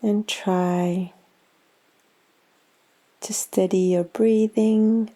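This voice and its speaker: A woman guiding a yoga nidra meditation in a calm, soothing, unhurried manner with long pauses. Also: medium pitch. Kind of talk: guided meditation.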